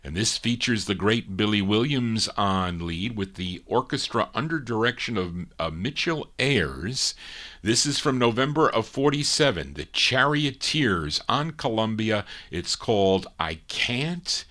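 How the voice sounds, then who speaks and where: A man's voice: lowish voice, expressive, unhurried, pushed and bright. A man hosting a radio show from a studio, chatting casually between records in a rambling, hesitant way with many fillers. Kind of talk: casual on-air chat introducing records. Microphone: studio microphone through a mixer.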